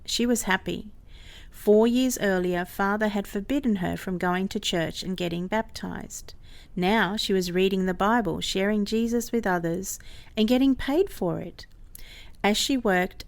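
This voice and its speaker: Woman, average pitch, scripted narration, storytelling delivery, reading aloud. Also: gravelly. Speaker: story reader.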